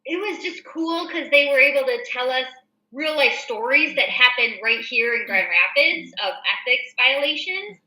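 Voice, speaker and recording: High bright american lady host, chatty, explaining stuff casually, echoey room. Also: lively intonation, normal speed. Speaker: show host and real estate agent. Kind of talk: casual reading of a newsletter with commentary.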